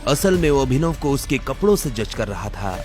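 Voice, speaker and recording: A man's voice: medium pitch, very animated, moderate pace, a little mellow, soft background music. A man narrating a scripted Hindi audio drama as a dramatic storyteller.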